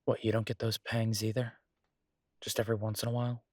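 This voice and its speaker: Man acting as easygoing chef boyfriend, husky voice, dry close sound, halting casual speech, earnest, intimate roleplay audio. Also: fairly low pitch, little pitch movement, darkish tone, no room sound, natural voice. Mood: wistful. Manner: halting, casual, reassuring, trailing pauses. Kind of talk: intimate roleplay audio drama, casual one-sided conversation.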